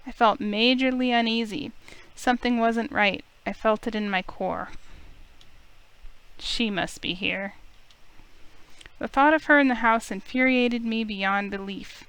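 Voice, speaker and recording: A woman reading a visual novel aloud as an expressive first-person story, with music underneath. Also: a little high, measured pace, long pauses, smooth, low-quality recording.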